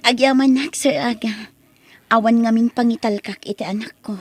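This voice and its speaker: A woman doing a poor young ilocano mom in an audio drama, high breathy voice, pleading and emotional. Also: fairly even intonation, normal speed, close to an everyday voice. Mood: grateful. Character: humble, tearful young single mother pleading for her baby.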